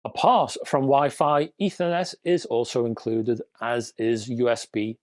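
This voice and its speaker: A man's voice: mid-range voice, steady tone, unhurried, darkish tone, dry recording. Male tech news presenter, conversational and wry, addressing viewers directly, recorded dry and close. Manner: conversational, rhetorical questions and wry asides.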